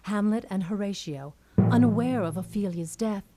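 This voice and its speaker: A woman's voice: mid-range voice, moderate pace. A woman giving scripted storytelling narration for a puppet show, as its narrator. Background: background music.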